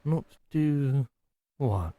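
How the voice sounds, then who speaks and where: A man's voice: medium pitch, expressive, drawn-out words, no room sound, narrow, tinny audio, pitched above the performer's own voice. A man putting on the voice of a playful, mocking servant in an audio drama. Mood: teasing. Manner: teasing, sing-song.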